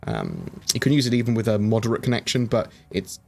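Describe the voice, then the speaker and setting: A man's voice: lowish voice, wildly swooping pitch, fast talker, gravelly, darkish tone. Chatty man answering livestream chat. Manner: chatty, reads viewer questions aloud and answers with many fillers. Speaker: streamer answering chat questions. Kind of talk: casual livestream Q&A.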